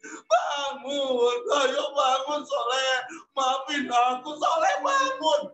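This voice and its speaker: A desperate young boy pleads and wails in a very high, bright, strained voice, as a male storyteller puts him on. He cries out and shouts prayers between sobs. The sound rings in an echoey room with faint music underneath. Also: animated, takes it slowly, slight background noise, exaggerated voice. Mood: sobbing. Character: remorseful young boy sobbing over dying friend.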